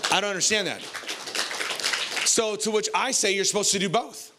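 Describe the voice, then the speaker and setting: A man in his forties with an American accent preaching an informal, animated, conversational sermon into a handheld microphone on a church stage. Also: high-pitched, moderate pace, very noisy. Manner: conversational, animated, colloquial, repeats phrases for emphasis. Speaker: pastor.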